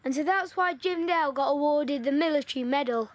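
Eager chatty wartime boy, high voice, woman in the role; audio play. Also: fairly quick, no room sound, lightly acted. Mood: eager storytelling. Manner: eager, storytelling, pushy.

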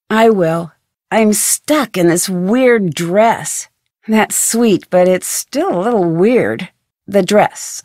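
A woman's voice: on the high side, darkish tone, dry recording, clean studio sound. A young woman voicing a friendly, curious, plucky amateur detective in a video game, with earnest, conversational delivery. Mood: concerned, resolute.

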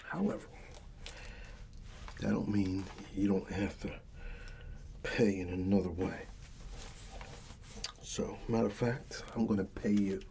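Slow, soft-spoken American man, whispery. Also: fairly low pitch, gravelly, a little hiss or hum. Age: middle-aged. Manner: unhurried, casual, avuncular reassurance. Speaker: ASMR roleplayer playing a barber uncle. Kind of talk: soft-spoken ASMR personal attention roleplay.

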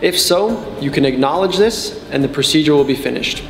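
Young man, slightly high voice, instructional narration, measured step-by-step delivery, reverberant sound, background music. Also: animated. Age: 20s. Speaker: technical support and customer trainer.

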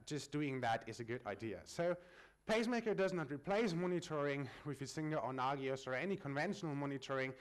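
A man from Germany giving a technical conference presentation at a lectern, explaining in a friendly way with frequent filler sounds. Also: a little high. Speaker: conference speaker.

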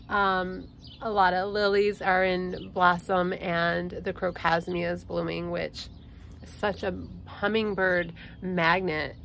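A woman's voice: on the low side, gravelly, a bit bright, a little hiss or hum. A woman in her thirties with a stuffy, nasal voice, chatting casually as she hosts a gardening vlog outdoors, filmed on a phone at arm's length. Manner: chatty, friendly explainer. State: congested, nasal from allergies. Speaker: gardening channel host. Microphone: phone camera held at arm's length.

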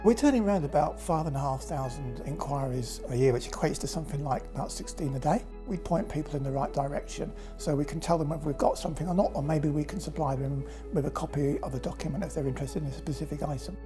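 A man in his fifties describing enquiry work in a brisk, practical documentary interview. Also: fairly high pitch. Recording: clear music underneath.

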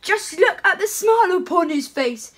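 A woman's voice: expressive, normal speed, fairly bright tone. A girl doing a jolly chatty Father Christmas. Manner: lowered playful voice, chuckling ho ho ho. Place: home room with curtains.

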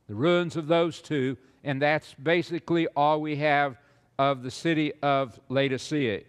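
Slow, rambling older male preacher. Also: on the high side, big-room sound. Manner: slow, rambling delivery with many "uh"s and repeated "very". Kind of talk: Bible lecture on stage. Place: large auditorium stage with audience.

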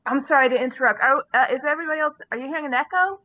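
A woman's voice: high-pitched, very fast, dry recording. A woman hosting a casual livestream over an online call. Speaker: stream host. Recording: dull lo-fi online call audio.

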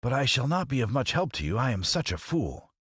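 A man's voice: mid-range voice, big pitch swings, a little fast, fairly bright tone, slightly rough, no echo, clean studio sound, voice pushed up. A man voicing a humble, self-doubting scarecrow in a storybook reading. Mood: glum, willing. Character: humble, foolish male scarecrow, willing but self-doubting.

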